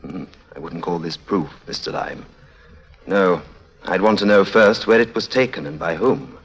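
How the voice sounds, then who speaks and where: An actor with a British accent playing a weary, guarded diplomat in a 1950s radio drama, clipped and evasive, with a husky voice. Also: male voice, low voice, moderate pace. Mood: sceptical. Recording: vintage 1950s radio recording.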